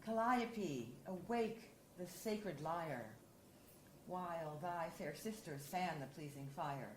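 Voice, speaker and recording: A woman editor reading poetry aloud at a symposium, measured and deliberate. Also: fairly low pitch. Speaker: anthology editor and reader. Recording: roomy hall with faint hiss.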